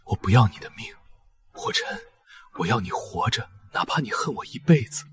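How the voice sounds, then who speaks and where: A man acting a tender, self-sacrificing young lover in an animated melodrama, soft and restrained. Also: medium pitch, moderate pace, husky. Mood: sorrowful resolve.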